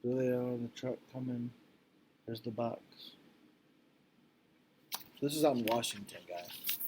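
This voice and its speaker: A man reading a picture book aloud to children like a teacher, expressive and deliberate, with informal explanatory asides. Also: mid-range voice, slight background noise, poor audio.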